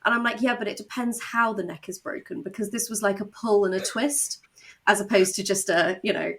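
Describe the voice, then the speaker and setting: A woman chatting casually as an interview guest, telling things in an animated way with quoted asides. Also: medium pitch, brisk. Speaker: guest, audio drama writer and novelist.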